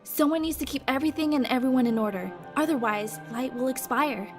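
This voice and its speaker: Female master played by a woman, solemn and instructive, fairly high voice, clear music behind, anime fandub. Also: fairly quick, natural voice. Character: solemn female master entrusting her chosen pupils. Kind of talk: anime game fandub acting.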